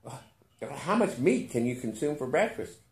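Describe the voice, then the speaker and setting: A man's voice: medium pitch, very animated, normal speed, echoey room, pitched above the performer's own voice, lightly acted. A man voicing an astonished, naively blunt questioner in a short story, pressing his questions insistently. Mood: incredulous, probing.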